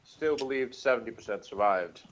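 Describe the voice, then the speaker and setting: A man's voice: mid-range voice, moderate pace, rough recording. A man co-hosting a review show over an online call, bantering in a wry, sarcastic way. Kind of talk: casual joking banter.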